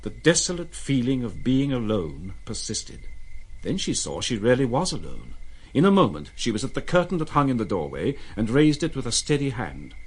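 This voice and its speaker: A man's voice: mid-range voice, huge pitch swings, normal speed, breathy edge. A man narrating a literary story in the manner of a dramatised audiobook.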